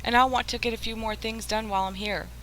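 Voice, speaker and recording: A woman's voice: a little high, fairly even intonation, fast, fairly bright tone, lightly acted. A woman playing a flirtatious, scheming crook in a 1940s-style radio drama, coaxing and gushing. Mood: sweetly coaxing. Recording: faintly noisy radio drama recording.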